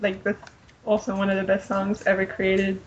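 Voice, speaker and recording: A woman's voice: medium pitch, completely flat delivery, normal speed. A woman co-hosting a podcast in casual fan chat, talking in an enthusiastic, slangy, giggly way. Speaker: podcast co-host. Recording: muffled lo-fi audio with background noise.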